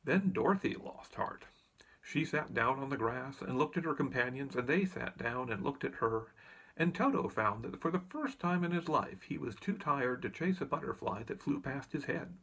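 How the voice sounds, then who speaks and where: A man's voice: mid-range voice, animated, normal speed, gravelly, fairly bright tone, slightly rough, low-quality recording. A man reading a children's story aloud as narrator. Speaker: audiobook reader.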